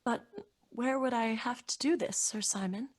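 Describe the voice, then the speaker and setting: A woman's voice: a little high, moderate pace, natural voice. A young woman playing an earnest, kind and fearless young girl in an old-time radio drama. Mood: gentle, solemn. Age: young adult. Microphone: studio microphone with pop filter, over video call.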